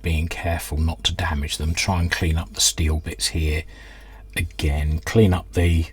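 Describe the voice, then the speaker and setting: A man's voice: rumbling low, little pitch movement, measured pace, slightly rough. A man giving casual hands-on workbench commentary, hesitantly thinking aloud as he works. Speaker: host of a radio restoration channel.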